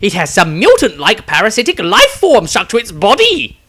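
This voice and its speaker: A man voicing an excitable, teasing wizard with an animated, mocking manner in a fantasy story. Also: high-pitched, moderate pace, voice pushed up, full character voice. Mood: excited gossip. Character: excitable, theatrical male wizard, teasing and sulky.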